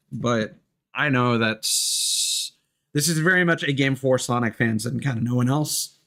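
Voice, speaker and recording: A man's voice: mid-range voice, fairly bright tone. A man streaming a video game, giving casual commentary in a musing, thinking-aloud way. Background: game music.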